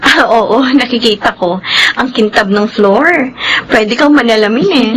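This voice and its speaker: A woman's voice: on the high side, a little slow, slight background noise, natural voice, rough recording. An actress playing a gracious but firm young businesswoman in a Filipino radio drama. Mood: friendly.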